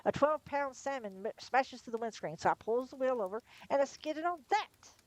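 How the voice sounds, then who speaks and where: A woman's voice: high-pitched, lively intonation, fairly quick, voice pushed up, poor audio. A woman reading aloud, voicing an exasperated male lorry driver arguing with the police. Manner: exasperated, indignant. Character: angry working-class male lorry driver.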